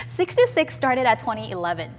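A woman's voice: a little high, wildly swooping pitch, moderate pace. A woman in her thirties hosting a talk show, interviewing a guest and switching between Mandarin and English. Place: TV talk show studio set with sofas. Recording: reverberant room with background noise.

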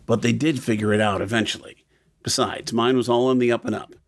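A man's voice: mid-range voice, fairly even intonation, brisk, no echo, clean studio sound, lightly acted. A man playing a practical, level-headed planner in an audio drama, speaking matter-of-factly.

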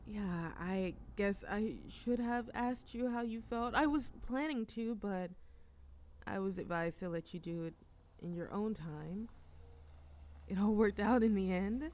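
A woman's voice: medium pitch, measured pace, darkish tone, natural voice. A woman playing a shy, affectionate young girlfriend who overthinks everything, hesitant and stammering in an intimate roleplay audio drama. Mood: anxious, confessional. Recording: faint ambient noise behind voice.

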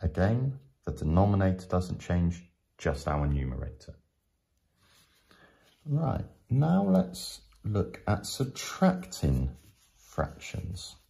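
Male tutor for step-by-step lesson narration: very low pitch, gravelly creak, patient explanatory delivery. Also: big pitch swings, warm tone. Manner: patient, explanatory, with frequent pauses. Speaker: teacher.

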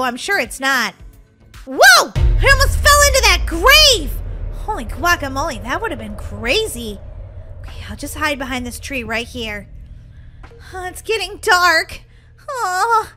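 A woman's voice: high-pitched, sharp tone, heavily acted. A woman voicing a frightened young orphan girl on the run in a children's gaming roleplay, talking to herself in dramatic exclamations. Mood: sad, afraid. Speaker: gaming channel host.

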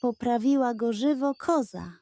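Slow, mellow woman reading a bedtime story. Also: fairly high pitch, no room sound. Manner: gentle, expressive reading. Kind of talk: scripted bedtime story narration.

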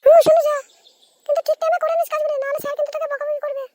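A woman voicing a diligent, caring schoolgirl big sister in an amateur cartoon, pitched up and earnestly insistent. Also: little pitch movement, very fast, darkish tone, dry recording, clean studio sound.

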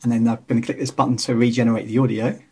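Male tutorial host, 30s. Very husky tone, mid pitch, relaxed step-by-step explaining. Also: very fast. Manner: casual, explanatory. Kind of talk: screen-recorded software tutorial narration.

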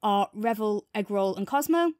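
A woman's voice: medium pitch, lively intonation, normal speed, a loud crowd. A woman co-hosting a review podcast, talking casually in a thoughtful, reflective and agreeable way. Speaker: podcast co-host reviewing audio drama.

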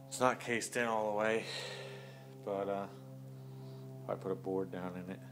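Casual, plain-spoken man, a bit low, doing a rambling outdoor phone review. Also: steady tone, unhurried, music in the background. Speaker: product reviewer. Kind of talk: casual product review vlog. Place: outdoors by a van on pavement.

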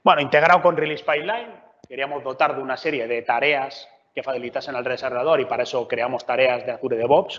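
A man's voice: medium pitch, a bit bright. A man from giving a conversational technical talk at a meetup, often checking in with the audience. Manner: conversational, frequent filler checks. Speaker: meetup speaker. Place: large meeting room. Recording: lo-fi roomy hall recording.

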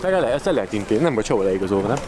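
A man's voice: lowish voice, sing-song, a loud crowd. A man bantering casually and crudely on an outdoor football sideline. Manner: crude, joking. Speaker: person on the team sideline. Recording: outdoor camera audio, music behind.

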